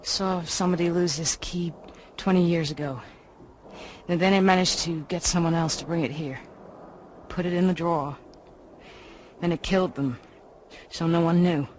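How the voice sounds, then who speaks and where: A woman's voice: on the low side, fairly even intonation, normal speed, pauses often, a little mellow, lightly acted. Cool, sardonic tough woman acted out, faint noise behind. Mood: cool, confiding. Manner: clipped, wry. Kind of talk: radio drama acting.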